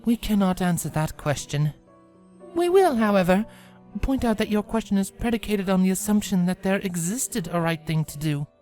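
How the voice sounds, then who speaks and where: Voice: medium pitch, lively intonation, darkish tone, soft background music, pitched above the performer's own voice, natural voice. Narrator voicing a solemn, regal pony princess. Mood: solemn, measured. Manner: formal, measured, grave, archaic wording. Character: regal, solemn princess pony of dreams, archaic royal-we speech.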